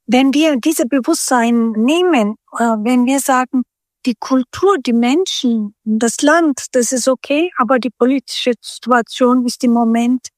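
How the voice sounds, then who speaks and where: High-voiced woman, slow and dry. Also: a little mellow, no echo. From Turkey. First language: Turkish. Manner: thoughtful, searching for words with frequent restarts. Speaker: guest. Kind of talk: reflective interview conversation.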